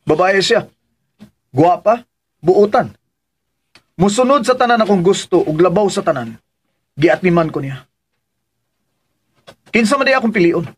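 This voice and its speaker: A high, husky voice with a Filipino accent: a stubborn grown son in a radio drama. He is defensive and insistent, firing questions back as he stands up for the woman he loves. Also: lively intonation, measured pace, pauses often, exaggerated voice. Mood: indignant, challenging.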